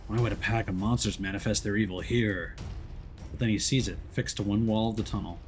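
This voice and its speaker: A man's voice: fairly low pitch, steady tone, brisk, darkish tone, slight background noise. A man streaming a video game, moving between casual commentary and reading story narration aloud.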